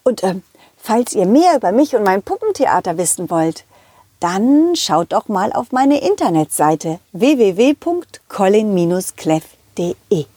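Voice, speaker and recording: A woman's voice: mid-range voice, big pitch swings, measured pace, warm tone, breathy edge, no room sound. A woman puppeteer telling a children's story in character, with childlike enthusiasm, speaking straight to the children. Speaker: puppeteer storyteller voicing a dog hero.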